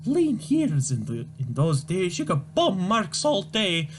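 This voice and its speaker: A man reading a comic aloud, voicing a nagging old mother in a high, quavering, scolding voice. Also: huge pitch swings, slow pace, background noise, full character voice.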